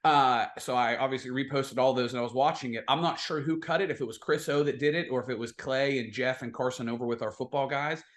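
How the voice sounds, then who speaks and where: A man's voice: mid-range voice, fairly even intonation, fast talker, hardly any pauses. A man speaking informally and emphatically as a guest in a casual sports chat, telling stories with plenty of slang. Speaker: guest, football video production staffer.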